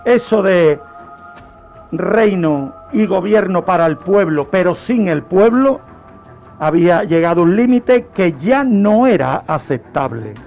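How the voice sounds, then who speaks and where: A man's voice: high-pitched, animated, slow pace, creaky, a little mellow, faint music behind. A man presenting a history segment on the radio, telling it like an emphatic lecture full of rhetorical questions and repetition.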